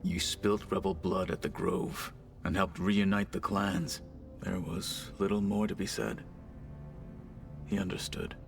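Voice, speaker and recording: Deep gravelly stoic warrior. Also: male voice, flat delivery, music in the background. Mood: reverent. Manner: formal, measured, solemn speech. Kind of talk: dramatic video game acting.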